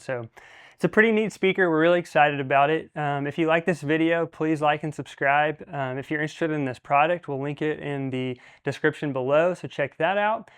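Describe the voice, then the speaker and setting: Clear-voiced young man presenting a product. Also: a little high. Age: young adult. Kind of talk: casual product overview to camera. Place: indoor workshop-style studio with metal cabinets.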